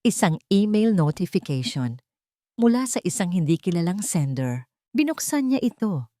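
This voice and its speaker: A woman's voice: on the low side, big pitch swings, normal speed, mellow, dry recording, studio quality. A woman reading a dramatic romance story as a scripted narrator.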